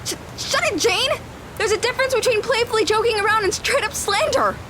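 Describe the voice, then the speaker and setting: A woman playing a nosy, excitable young girl who hunts ghosts, inquisitive and argumentative, in an audio drama. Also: extremely high pitch, fairly quick, slight background noise. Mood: indignant.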